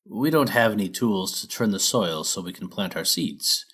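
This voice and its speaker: A man's voice: fairly low pitch, lively intonation, normal speed, a bit bright, husky. Man voicing a polite, awkward farmer pony. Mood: embarrassed. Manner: polite, hesitant.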